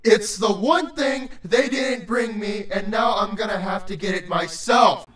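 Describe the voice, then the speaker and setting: A woman's voice: mid-range voice, measured pace, echoey room, crowd noise, pitched below the performer's own voice, lightly acted. A woman voicing a huge, exhausted, irritable male changeling creature in a storybook, with a stern, snapping, hissing manner. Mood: irritated hiss.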